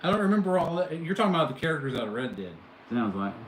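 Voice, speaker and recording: A man's voice: fairly high pitch, very animated, rapid-fire. Chatty man in an echoey room. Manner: chatty, reacting to chat with amused disbelief. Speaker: livestream host. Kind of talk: casual live chat with viewers. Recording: echoey room, faint background noise.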